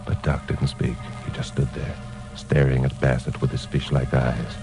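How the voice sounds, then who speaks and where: A hardboiled American crime reporter speaks in a very low voice, wry and slangy. It is old-time radio detective drama, with music clearly present in the vintage recording. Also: male voice, level tone, moderate pace. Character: wry tough male crime reporter narrating his case. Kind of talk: 1940s-50s radio detective acting, hardboiled narration.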